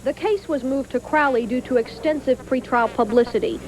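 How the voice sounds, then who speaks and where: A woman's voice: high-pitched, fairly quick, darkish tone, poor audio. A woman reading a scripted television news report as a field reporter, on an old 1980s broadcast tape.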